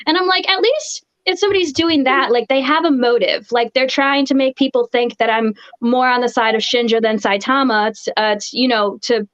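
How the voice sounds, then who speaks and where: A woman in her twenties, a panelist in a casual group chat, talking in a halting, hesitant way. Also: a little high, fairly even intonation, a bit bright, lo-fi sound. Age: 20s. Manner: hesitant, halting, with nervous laughs.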